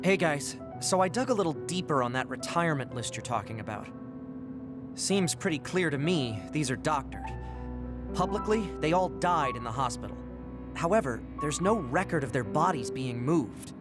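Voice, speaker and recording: A man's voice: a little high, expressive, moderate pace, close to an everyday voice. A man playing a savvy young military informant in a video game, informal and laid-back. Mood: matter-of-fact. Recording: loud music behind dialogue. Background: loud game soundtrack music.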